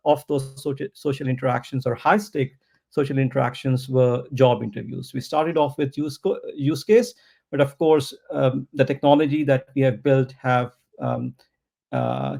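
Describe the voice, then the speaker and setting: A man whose first language is not English, answering interview questions about his product in a slow, thoughtful way with many pauses and restarts. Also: medium pitch, vocal fry, darkish tone. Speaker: startup founder, interview guest. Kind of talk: podcast interview.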